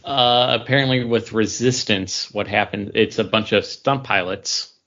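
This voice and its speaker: A man's voice: fairly low pitch, fairly even intonation, measured pace, a bit bright, dull audio. A man co-hosting a casual geek-culture chat, talking like a keen fan and correcting himself as he goes. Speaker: podcast co-host.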